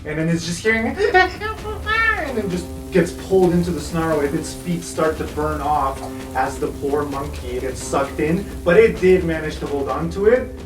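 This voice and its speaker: A man narrates an improvised tabletop game as its game master. His voice is on the high side, set back from the mic in an echoey room with music playing. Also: expressive, moderate pace, hardly any pauses, far from the mic.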